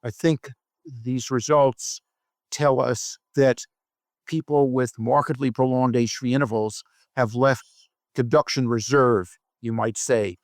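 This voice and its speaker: A man speaking as a physician researcher in an interview, explaining study findings in a measured, deliberate way with halting phrasing. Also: mid-range voice, fairly even intonation, no echo, studio quality.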